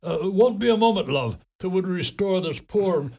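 A man's voice: high-pitched, expressive, moderate pace, a little mellow. An actor playing a bumbling, apologetic Irish man in a radio play, hesitant and mild. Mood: flustered, apologetic.

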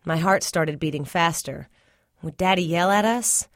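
A woman's voice: fairly low pitch, a little slow, no echo, clean studio sound. A woman reading a first-person literary short story aloud as scripted narration. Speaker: story reader.

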